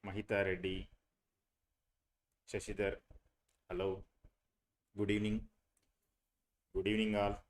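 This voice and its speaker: A man's voice: fairly low pitch, level tone, measured pace, pauses often. A young man, teaching a live online class on a video call, hesitant with many fillers and restarts. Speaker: online course instructor.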